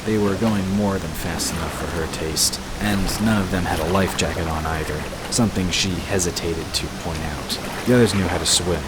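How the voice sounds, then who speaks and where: A man narrating a scripted fantasy story in a measured storytelling manner. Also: low-pitched. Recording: noisy, with water sound effects behind. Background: rushing water ambience.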